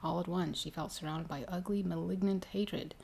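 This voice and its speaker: A woman reading a story aloud ASMR-style, soft and unhurried, partly whispered. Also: lowish voice, steady tone, creaky. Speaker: ASMR reader. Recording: faint noise behind the voice.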